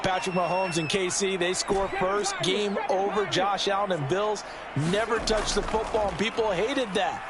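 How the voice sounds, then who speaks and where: A man's voice: high voice, steady tone, normal speed, over a noisy crowd, heavy background noise, lightly acted. A man with an American accent explaining as an analyst in football video game commentary, emphatic and punchy. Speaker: sports analyst.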